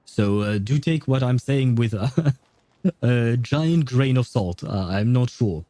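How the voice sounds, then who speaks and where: A man's voice: mid-range voice, lively intonation, slow, dark tone, no echo. A man hosting a gaming livestream, talking casually and thinking aloud in a rambling way. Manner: rambling, thinking aloud, chuckles at own jokes. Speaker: livestream host.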